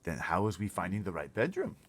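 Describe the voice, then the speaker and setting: A man's voice: low-pitched, huge pitch swings, fairly quick, fairly bright tone, full character voice. A man voicing a big friendly giant, simple and timid, with quaint ungrammatical speech in a storybook read-aloud. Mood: worried, puzzled.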